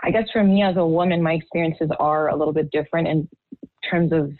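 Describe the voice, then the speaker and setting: A woman's voice: low voice, steady tone, no room sound. A young woman from Haiti speaking as a podcast guest, candid and heartfelt, often restarting her sentences. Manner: heartfelt, halting with many restarts. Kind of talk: candid personal testimony. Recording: tinny phone-like line.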